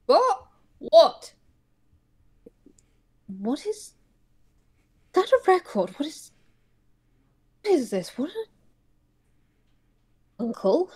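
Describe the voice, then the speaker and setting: Woman voicing a high, emotional cartoon toon parent. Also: a little mellow, exaggerated voice. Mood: baffled, alarmed.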